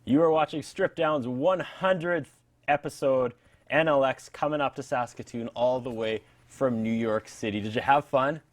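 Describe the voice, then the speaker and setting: A man's voice: mid-range voice, normal speed. A Canadian man hosting a show with casual on-stage interview talk. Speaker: TV show host.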